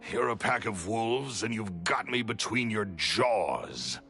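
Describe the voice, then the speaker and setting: An actor playing a proud, cynical, cornered criminal in a video game, unrepentant, with a bitter, eloquent, theatrical delivery. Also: male voice, lowish voice, lively intonation, slow, sharp tone, exaggerated voice. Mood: bitter, resigned. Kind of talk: dramatic English video game voice acting.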